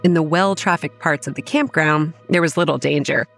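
An actress playing a self-assured young woman who manages a campground, narrating a horror audio drama in a measured, matter-of-fact way. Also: low-pitched, fairly bright tone, soft background music. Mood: measured, reminiscing. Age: young adult. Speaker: narrator and lead character. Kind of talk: scripted first-person horror drama narration.

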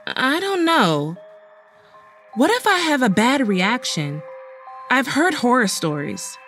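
A woman's voice: sits high, big pitch swings, slow, a bit bright, soft background music, heavily acted. A woman playing a burnt-out, anxious, sardonic young college student in an audio horror drama. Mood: hesitant. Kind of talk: audio drama acting and first-person narration.

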